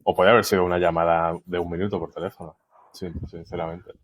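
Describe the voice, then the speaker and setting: A young man with a southern Spanish Málaga accent talks as a podcast guest in an easygoing, chatty way. His voice sits very low and stays fairly level, with plenty of fillers. He laughs easily as he goes. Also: flat delivery, quick pace. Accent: Andalusian Spanish from Málaga. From Spain. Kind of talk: casual interview chat.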